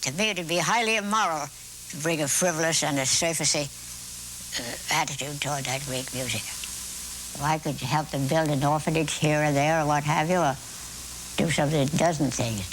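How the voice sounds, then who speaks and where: An American woman in her eighties telling reflective stories in an interview, with deliberate, dramatic phrasing, pauses and repetition. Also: low-pitched, slight background noise, poor audio. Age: 80s. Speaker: amateur conductor being interviewed.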